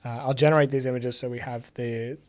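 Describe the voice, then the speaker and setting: Slightly raspy man thinking aloud. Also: mid-range voice, steady tone, a little fast. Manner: thinking aloud with frequent fillers, dry sarcastic asides. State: raspy voice recovering from illness. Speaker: livestream host. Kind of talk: casual live tech demo commentary. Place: home office with bookshelves, indoors.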